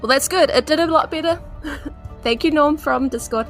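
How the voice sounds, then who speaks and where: A New Zealand woman plays in a tabletop game podcast. Her voice is on the high side and she chats casually, with music behind her. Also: moderate pace.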